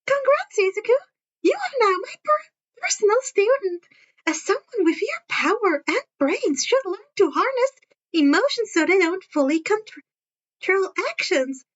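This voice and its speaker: Woman doing a high, squeaky, chirpy school principal. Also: big pitch swings, a little slow, dull audio, full character voice. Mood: chirpy, pleased. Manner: chirping, perky, bossy. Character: chirpy, cheerful small animal school principal, male.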